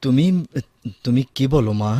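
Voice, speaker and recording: An actor as a soft-hearted family man in an audio drama, respectful and a little hesitant, with a Bangladeshi Bengali accent. His mid-pitched voice is recorded close and dry. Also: moderate pace, no echo, close to an everyday voice. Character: gentle, dutiful grown son and indulgent young father.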